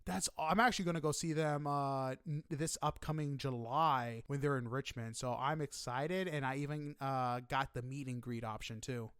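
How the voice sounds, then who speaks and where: A man's voice: medium pitch, fairly even intonation, moderate pace, hardly any pauses, fairly bright tone, clear, resonant tone, no echo, clean studio sound. A man hosting a casual interview, chatting in an enthusiastic, emphatic way. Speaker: podcast host interviewing a guest.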